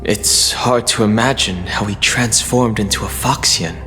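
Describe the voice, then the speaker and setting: A quiet male companion, voiced by a man muses slowly in a low voice. Game music plays underneath. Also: natural voice. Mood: curious. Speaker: game character.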